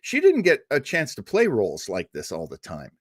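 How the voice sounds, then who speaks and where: A man hosts a livestream in a casual, enthusiastic way, dotting his talk with "uh" and "you know." His voice rises and falls in a lively way. The sound is dry and close. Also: medium pitch, moderate pace, slightly rough, no room sound. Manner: chatty and enthusiastic, with many fillers. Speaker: host of a livestreamed radio-show listening party.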